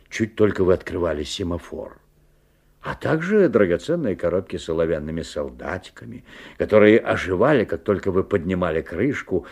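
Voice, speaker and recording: An actor playing an anxious, watchful father who narrates a strange tale in a 1970s radio play, expressive and dramatic. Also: deep voice. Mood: measured wonder.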